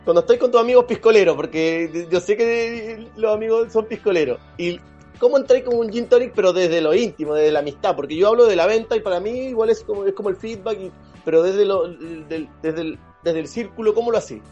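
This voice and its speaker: A Chilean man chats casually as a podcast co-host in a fairly high, mellow voice. He speaks haltingly, circling back on words. The sound is slightly dull, with music underneath. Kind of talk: casual chat. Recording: dull lo-fi audio, music behind. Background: background music.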